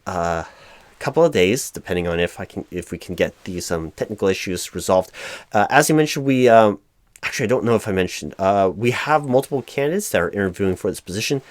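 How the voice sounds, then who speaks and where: A man playing a frustrated, flustered corporate recruiting manager in a one-sided roleplay, speaking in a halting, stammering, officious way. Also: lowish voice, no room sound, clean studio sound, natural voice. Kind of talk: one-sided ASMR roleplay, loud spoken.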